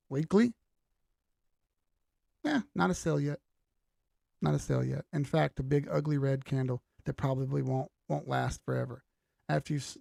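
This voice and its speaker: A man hosting a casual livestream lesson on reading price charts, chatty and often checking in with "okay?", his voice going hoarse from a cold. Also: fairly high pitch, darkish tone, dry recording, studio quality.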